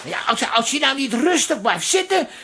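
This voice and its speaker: A woman's voice: high voice, big pitch swings, normal speed, fairly bright tone, a little hiss or hum, exaggerated voice. A woman playing a wry, gossipy hairdresser in a comic radio play. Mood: wry, teasing. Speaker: radio drama actor.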